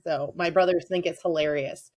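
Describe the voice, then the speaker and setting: A woman's voice: low voice, steady tone, moderate pace, darkish tone, muffled recording, slight background noise. A talkative, enthusiastic woman chatting casually as a guest on a podcast. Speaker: podcast guest, avid reader.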